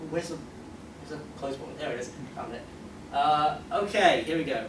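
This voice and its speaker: A distant, echoey recording from a convention room with clear background noise. A man with a slightly high voice presents casually, joking as he goes. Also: moderate pace. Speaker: panelist and game creator.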